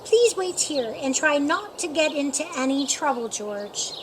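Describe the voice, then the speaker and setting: A woman's voice: sits high, very animated, unhurried, background noise. A woman reading the lines of a friendly grown man, a little monkey's fond guardian, in a storybook. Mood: kindly.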